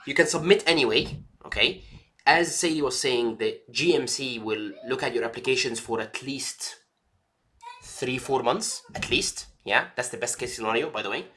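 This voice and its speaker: A man of ordinary pitch gives relaxed, reassuring career advice. He keeps checking understanding with quick "yeah?" and "okay?" as he talks. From Egypt. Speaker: mentor advising trainee doctors. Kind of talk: casual advice chat.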